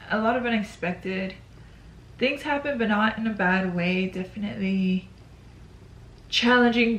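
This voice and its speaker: A woman's voice: medium pitch, roomy sound, a little hiss or hum. A woman in her twenties talking casually to the camera in a yearly check-in video, chatty and a bit hesitant, with frequent ums. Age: 20s. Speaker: YouTuber.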